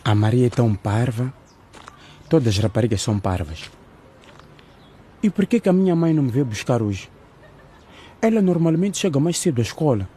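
A man's voice: medium pitch, dark tone, slight background noise, close to an everyday voice. A young man playing an emotional, anxious schoolboy son in a radio drama. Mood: sulky. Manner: emotional, talking to himself. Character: sulky, anxious schoolboy son, easily alarmed.

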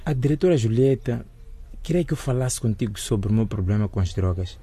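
Husky, hesitant teenage boy. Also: mid-range voice, animated, a little fast, warm tone. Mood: hesitant, uneasy. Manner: polite, hesitant, awkward. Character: hesitant, stressed teenage boy seeking counseling. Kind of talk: radio drama acting.

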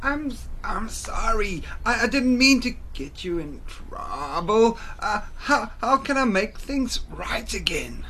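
A woman's voice: mid-range voice, very animated, slow pace, few pauses, bright, forceful tone, slightly rough, pitched above the performer's own voice, heavily acted. A woman voicing a bashful, apologetic lion in a children's story, hesitant and stammering. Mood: sheepish, sorry. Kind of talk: children's storybook character acting.

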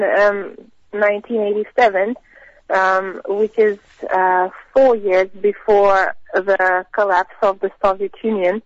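A woman in her thirties with a Russian accent, telling her personal story as an interview guest, with hesitant, thoughtful pauses. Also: mid-range voice, no room sound. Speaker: missionary guest. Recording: dull, lo-fi radio sound.

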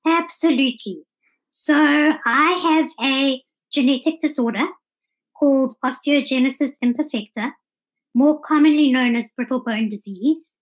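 Higher-pitched South African woman. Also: a little slow, dull audio. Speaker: podcast guest. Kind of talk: conversational interview answers.

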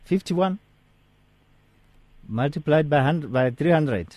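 A man's voice: on the high side, lively intonation, takes it slowly, darkish tone, dry recording. A man hosting a radio talk show, easygoing and self-deprecating as he reads out contact numbers.

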